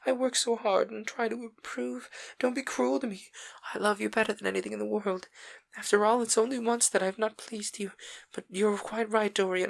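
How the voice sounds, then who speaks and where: A man reading a novel aloud, voicing a heartbroken young actress who pleads in high, tearful whispers. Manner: tearful, breathy whispering pleas. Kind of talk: audiobook character voice.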